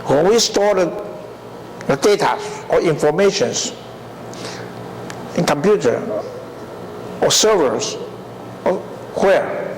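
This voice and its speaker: An older man gives a university lecture in a deliberate way, often asking the class rhetorical questions. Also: fairly high pitch, expressive, a little hiss or hum, low-quality recording. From Taiwan.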